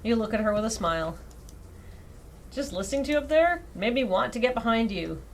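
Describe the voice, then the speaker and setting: Expressive woman streamer reading aloud, noisy background. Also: on the high side, a little fast. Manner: expressive reading broken by wry, self-deprecating asides. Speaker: streamer reading out a dating sim visual novel. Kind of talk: live game stream, narration read aloud with casual asides. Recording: clearly audible background noise.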